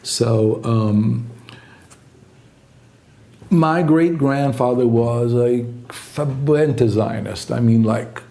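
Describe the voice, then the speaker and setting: Middle-aged guy with a gravelly dark voice, chatting back through old memories in an interview, lots of yeahs and ums. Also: mid-range voice, very slow, long pauses. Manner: relaxed, anecdotal, frequent fillers and repeated yeahs. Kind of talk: conversational interview, reminiscing.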